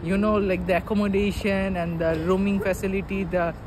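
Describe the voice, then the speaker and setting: A man's voice: sits high, level tone, fairly quick. A young man from Bangladesh, a Bengali speaker with a Bangladeshi accent, answering a street interview earnestly and fluently as a student. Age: young adult. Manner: fluent, earnest, filler-heavy. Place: outdoors by a busy street. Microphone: phone held by interviewer. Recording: phone audio with loud traffic noise.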